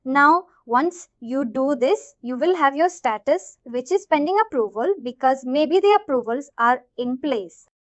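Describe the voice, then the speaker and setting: A woman working as a software trainer, narrating an informal screen-share tutorial in an explanatory way, often checking in as she goes. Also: high-pitched, lively intonation, unhurried, few pauses, dry recording. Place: voice-over of a screen recording. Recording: dull, lo-fi close dry audio.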